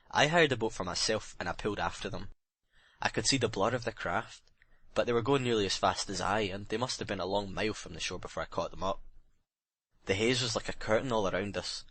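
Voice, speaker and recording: Low dry voice, guy acting as bitter haunted jealous sailor confessing, intense audiobook drama. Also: fairly bright tone, no room sound. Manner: intense dramatic confession, plain working-man's phrasing.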